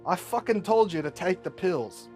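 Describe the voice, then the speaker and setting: A brash, sweary superhero team leader acted out by a man. The voice sits fairly high and talks bluntly, with exasperation. It is storytelling with music behind it. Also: animated, moderate pace, darkish tone. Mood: exasperated.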